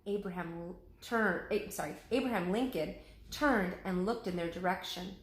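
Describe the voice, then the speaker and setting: A woman, a teacher, reading a children's chapter book aloud with lively, expressive voices. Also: fairly low pitch, moderate pace, reverberant.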